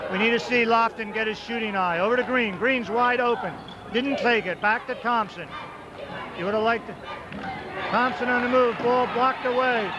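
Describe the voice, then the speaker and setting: A man with a high, loud voice calls high school basketball play by play in short, rapid bursts. The sound is muffled old broadcast tape, and a noisy gym crowd roars behind him. He clearly roots for the home side. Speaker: play-by-play announcer. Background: crowd noise, ball bounces.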